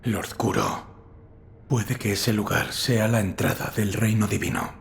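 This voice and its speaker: A man playing a stoic, loyal shinobi retainer in a dubbed video game, with a gravelly voice and a terse, grave, deferential manner. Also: on the low side, measured pace, slight background noise. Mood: hushed, deferential.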